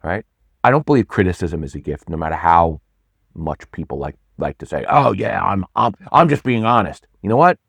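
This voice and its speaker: A middle-aged man, a pitch coach, talking casually in an interview, animated, often restarting and repeating words. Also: normal speed, gravelly, slightly rough, dry recording. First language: not Swedish; English speaker.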